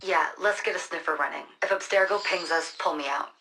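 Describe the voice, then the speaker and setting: A brisk secretive hacker handler. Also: female voice, fairly low pitch, pushed and bright, slight background noise, rough recording. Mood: urgent. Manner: brisk, urgent, conspiratorial. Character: secretive woman resistance hacker guiding a recruit. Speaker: mission handler.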